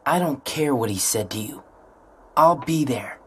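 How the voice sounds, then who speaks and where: A woman's voice: a bass voice, expressive, measured pace, fairly bright tone, slightly rough, exaggerated voice. A woman voicing an earnest, loyal and impulsive teenage boy in a video game, with urgent, pleading delivery.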